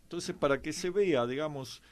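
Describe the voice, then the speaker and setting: Halting Argentine man telling anecdotes. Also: on the high side. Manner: halting, with frequent pauses and filler words. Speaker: guest commentator on a history and politics programme.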